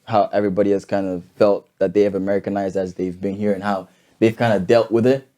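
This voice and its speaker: A man's voice: on the low side, flat delivery, quick pace, mellow. A young man in his twenties co-hosting a casual podcast, teasing his friends and steering the conversation. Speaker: podcast co-host. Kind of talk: casual chat.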